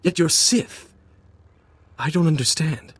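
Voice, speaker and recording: Dry-sounding, dutiful warrior monk. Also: male voice, a little high, takes it slowly, husky, no room sound. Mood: conflicted, solemn. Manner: formal, earnest. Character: middle-aged male warrior monk, stern, dutiful, righteous. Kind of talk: dramatic video game cutscene acting.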